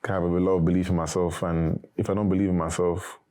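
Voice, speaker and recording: A young man, a former reality show contestant, answering interview questions in a casual, monotone way. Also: deep voice, quick pace. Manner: monotone, not very animated.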